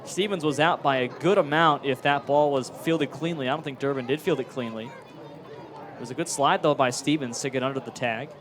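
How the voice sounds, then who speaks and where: A man's voice: medium pitch, moderate pace. A man giving analytical baseball commentary as a color commentator. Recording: clear stadium crowd noise behind. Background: ballpark crowd.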